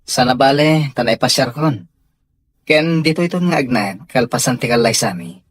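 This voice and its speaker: A man's voice: medium pitch, lively intonation, moderate pace, slightly rough, no echo, studio quality. A Filipino man playing a devoted young sweetheart in a radio drama, speaking earnestly and tenderly. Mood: earnest, reassuring. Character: devoted young male sweetheart surprising his beloved with a house. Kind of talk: Ilocano radio drama acting.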